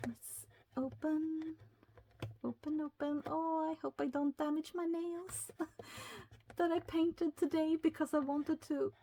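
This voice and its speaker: A woman from Sweden with a Swedish accent, speaking English as a second language, chatting excitedly as she unboxes a retro game and reads the packaging aloud. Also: high-pitched, takes it slowly, slight background noise. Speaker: YouTuber. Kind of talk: casual unboxing chat.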